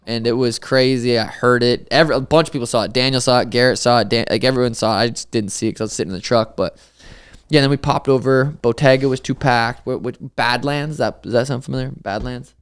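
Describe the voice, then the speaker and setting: Podcast co-host: young guy, easy swearing storyteller, clean smooth voice, mid pitch. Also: fast. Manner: loose, swearing storyteller, laughs often. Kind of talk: casual podcast chat.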